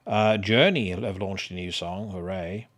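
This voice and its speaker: A middle-aged South African man hosts a podcast on his own, talking casually and loosely. His voice sits very low, and he swears freely, filling his talk with fillers and restarts. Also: a little slow, fairly bright tone. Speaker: podcast host. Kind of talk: casual solo podcast chat.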